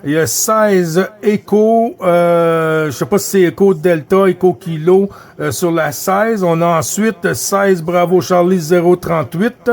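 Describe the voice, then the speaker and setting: A man's voice: high voice, gravelly. A man with a Québécois accent, chatting on a CB radio contact, hesitant with many filler sounds. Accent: Québécois French. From Quebec. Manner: hesitant, frequent filler sounds, friendly sign-offs. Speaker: CB radio operator. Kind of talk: casual radio contact chat.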